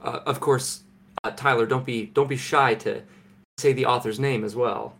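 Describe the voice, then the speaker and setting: A young Canadian man co-hosting a podcast from home, deadpan and mock-serious, with halting restarts. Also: mid-range voice, vocal fry, a little hiss or hum. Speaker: podcast co-host. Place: home, remote recording.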